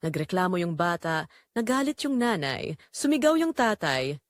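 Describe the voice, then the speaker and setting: A woman's voice: on the low side, very animated, moderate pace, no echo, studio quality. A woman with a Filipino accent playing a weary, anguished migrant-worker mother, telling her story in an emotive, confiding audio drama narration. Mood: weary, pained.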